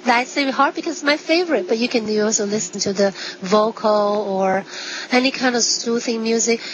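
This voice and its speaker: A woman physician explaining conversationally as a guest, with simple examples and short, halting, non-native phrasing. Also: on the high side. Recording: dull sound, faint background noise.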